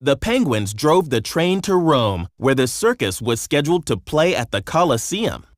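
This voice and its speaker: A man narrating a children's story from a script in an expressive storytelling manner. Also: a little high, normal speed, no echo, studio quality.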